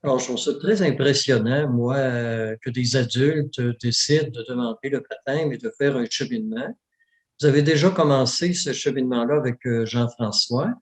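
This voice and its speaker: A man, a friar gently leading and questioning adult baptism candidates at a church presentation. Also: medium pitch, normal speed. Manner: gentle, encouraging, with fillers. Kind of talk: welcoming church presentation, interviewing.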